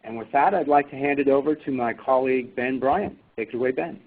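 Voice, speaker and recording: A man moderating a webinar, speaking haltingly with many fillers and restarts. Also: medium pitch. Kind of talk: webinar presentation. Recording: tinny phone line.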